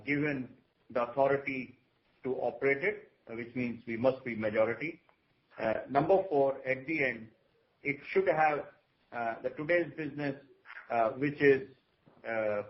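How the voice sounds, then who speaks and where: A man speaking as a company executive, answering point by point with a halting, hesitant delivery. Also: medium pitch. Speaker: company executive on an earnings call. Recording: dull, lo-fi conference call audio.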